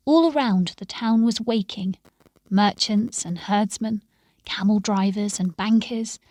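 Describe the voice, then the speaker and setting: A woman reading a story aloud in a measured, expressive way, with phrases that often sink into a hush. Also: a little high, no echo. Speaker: story reader. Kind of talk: scripted storytelling narration.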